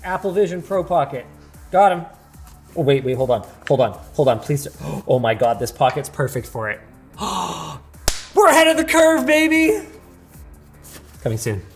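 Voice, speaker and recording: A man hosting a casual product unboxing, excitable and sarcastic, with a Canadian accent. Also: on the high side, moderate pace, long pauses, faint music behind. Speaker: tech video host.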